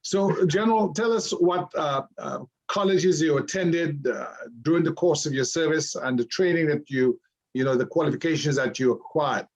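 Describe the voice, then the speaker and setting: A man interviewing a guest, asking halting questions. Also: fairly high pitch, muffled recording.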